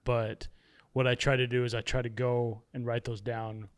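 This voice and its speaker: Male podcast guest with a smooth, resonant voice and a mid-range pitch. Reflective and candid, he pokes fun at himself. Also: little pitch movement, normal speed. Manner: candid, self-deprecating humor. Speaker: podcast guest, author and podcast host. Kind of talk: reflective casual conversation.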